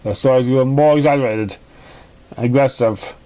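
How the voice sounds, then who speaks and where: A man reading storybook narration aloud as narrator, somewhat halting, with small stumbles. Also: mid-range voice, mellow. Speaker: narrator of a fan fiction story.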